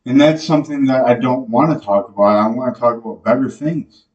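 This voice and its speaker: A young man hosting a podcast, talking to the camera in a slow, rambling devotional monologue full of fillers and restarts, recorded in a small bare room. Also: medium pitch, darkish tone, dull audio. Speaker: podcast host. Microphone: camera mic from a few feet away. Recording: echoey room, voice a bit distant from the mic.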